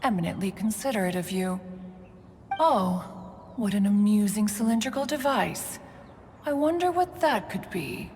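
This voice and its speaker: A woman's voice: medium pitch, animated, unhurried, a little mellow, long reverb tail, a little hiss or hum. A woman playing a haughty, ancient immortal bird in a video game, with formal, archaic diction. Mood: gracious, curious. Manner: formal, archaic, stately diction, refers to herself as one. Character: haughty, considerate elder female adeptus bird, ancient. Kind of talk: video game cutscene acting.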